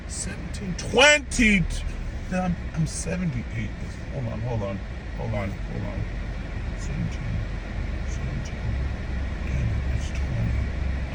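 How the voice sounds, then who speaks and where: A young man hosting an anime reaction channel, delivering an animated, emphatic rant straight to his viewers. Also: a little high. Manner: animated, emphatic, incredulous outbursts; conversational, addresses viewers directly. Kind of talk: opinionated rant. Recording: steady traffic noise behind.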